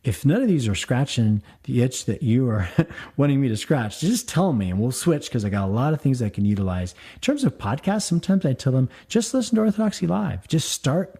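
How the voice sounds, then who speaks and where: A man's voice: mid-range voice, animated, a little fast, few pauses, warm tone, breathy edge. A man, a priest, hosting a call-in radio talk show in a friendly, chatty way, with frequent fillers. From United States.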